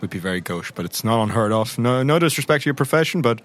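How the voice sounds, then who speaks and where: A man's voice: medium pitch, lively intonation, fast talker, natural voice. A man playing a polite, probing surveyor in improvised roleplay, courteous and inquisitive. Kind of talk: improvised tabletop roleplay acting.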